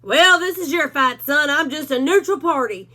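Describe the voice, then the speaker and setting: A cocky male cowdog, voiced by a woman reader, delivers dry, sly remarks with a drawl. His voice is high and bright. A storybook hero who thinks he is cleverer than everyone. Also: moderate pace. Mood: wry. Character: self-important male cowdog, wisecracking and sly.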